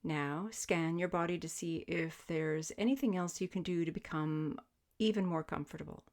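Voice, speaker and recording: A woman with a low-pitched voice leads a guided relaxation as a yoga teacher. She gives her instructions gently and soothingly, as measured invitations with space left between them. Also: steady tone. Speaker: yoga nidra meditation teacher. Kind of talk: guided sleep meditation, spoken instruction.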